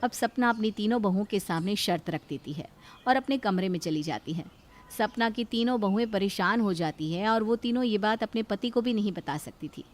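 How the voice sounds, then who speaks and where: A woman's voice: mid-range voice, lively intonation, normal speed. A woman reading scripted narration for a cartoon moral story as its storyteller.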